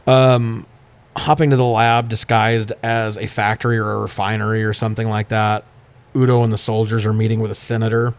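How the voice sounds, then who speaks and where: A man's voice: lowish voice, flat delivery, fairly quick, clean tone. A man co-hosting a casual film recap podcast, irreverent and sweary, often laughing as he talks. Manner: profane, irreverent, often laughing through sentences. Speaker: podcast co-host recapping films.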